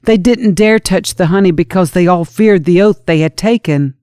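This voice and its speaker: A woman's voice: lowish voice, normal speed, mellow, dry recording, studio quality. A woman reading scripture as a scripted audiobook narrator, in a storytelling manner. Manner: storytelling, dramatizes quoted speech.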